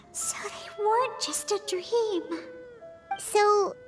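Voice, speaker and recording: A woman voicing a cute, sassy little cat girl in a video game, with a childlike, expressive delivery. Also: extremely high pitch, drawn-out words, soft background music, exaggerated voice. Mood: amazed.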